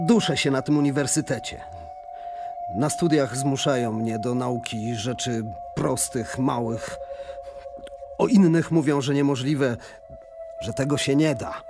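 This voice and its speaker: A driven young male scientist in an audio drama. He speaks at a middling pitch with obsessive intensity. Music runs clearly behind him. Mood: restless, yearning. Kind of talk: radio drama acting, reading letters.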